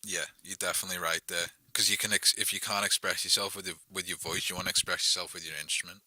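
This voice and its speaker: A young Liverpool man with a very low voice that still rings sharp and bright. He chats loosely about music as a guest, padding his thoughts with fillers. The sound is close and dry. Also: fairly even intonation, fast, husky, no echo. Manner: loose, filler-heavy, lots of "like" and "you know what I mean". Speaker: songwriter guest. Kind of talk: casual chat.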